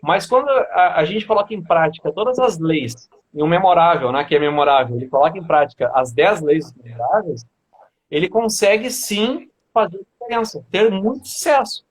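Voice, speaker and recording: A man hosts a motivational live stream in a husky, somewhat breathy voice. His pitch sits high for a man. He welcomes viewers warmly by name, then turns to question his guest. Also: animated, normal speed. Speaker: host.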